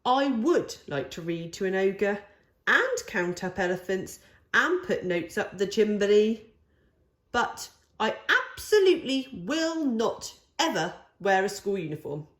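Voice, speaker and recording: A woman's voice: medium pitch, big pitch swings, unhurried, echoey room. A woman voicing a fussy, imaginative little girl in a storybook, with emphatic, wordy, childlike phrasing. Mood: defiant.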